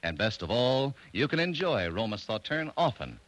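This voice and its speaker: A man's voice: medium pitch, sing-song, normal speed, a bit bright, a little hiss or hum, rough recording. A man announcing and selling for a sponsor in 1940s radio style, polished and emphatic. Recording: vintage radio broadcast.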